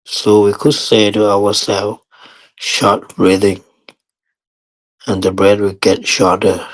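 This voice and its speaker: A man teaching guided meditation in short, measured phrases with long pauses between them. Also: fairly low pitch, little pitch movement, low-quality recording.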